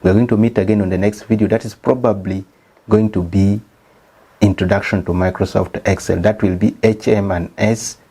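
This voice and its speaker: A man's voice: fairly low pitch, fairly even intonation, normal speed, dark tone, breathy edge. A man from Uganda with a Ugandan English accent, a statistician hosting his channel and walking viewers through a slideshow lesson step by step. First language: not English. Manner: methodical, explains step by step.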